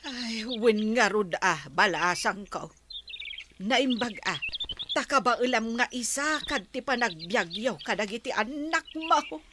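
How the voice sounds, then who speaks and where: Slow-talking sympathetic older Filipino village mother actress. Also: fairly high pitch, expressive. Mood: concerned. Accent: Filipino, Ilocano. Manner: drawn-out sighing interjections. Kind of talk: radio drama acting. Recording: noisy, birdsong behind.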